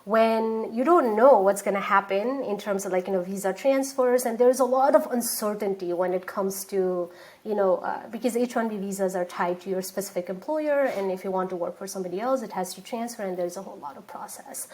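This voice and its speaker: A woman's voice: mid-range voice, brisk, darkish tone. Chatty woman in her thirties. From Nepal. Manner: chatty, many fillers and restarts. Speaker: guest, startup founder. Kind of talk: casual interview talk.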